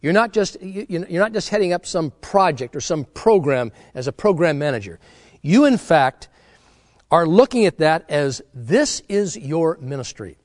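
A man's voice: a little high, dry recording, clean studio sound. A middle-aged man preaching a sermon, earnest and exhorting, often restarting his words as he talks. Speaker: pastor.

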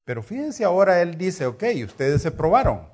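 A man's voice: sits high, big pitch swings, normal speed, darkish tone, husky. A middle-aged man with a Salvadoran accent preaching a conversational sermon, teaching with rhetorical questions and repeated phrases. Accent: Salvadoran Spanish.

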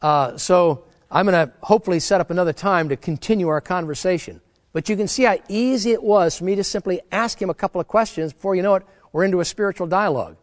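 A man's voice: sits high, fast talker, no echo, clean studio sound. A middle-aged man preaching a sermon, earnest and exhorting, often restarting his words as he talks. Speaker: pastor.

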